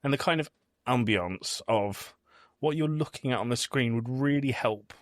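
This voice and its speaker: A man hosting a podcast, chatting casually about films in an animated storytelling way. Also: medium pitch, normal speed, no room sound, studio quality. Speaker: podcast host. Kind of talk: casual film review chat.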